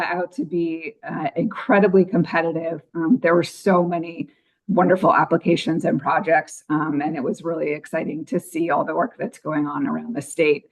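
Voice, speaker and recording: A woman on a commission, her voice a little scratchy, giving a grant and project update with many ums at a public meeting. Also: on the low side. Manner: frequent ums, apologetic. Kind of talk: meeting report.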